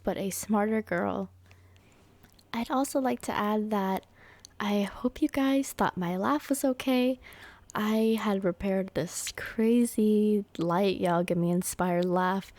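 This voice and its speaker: Casting: young woman creator, casual chat to listeners, medium pitch, dry recording. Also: a little mellow, no echo. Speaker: roleplay audio creator, voice actor.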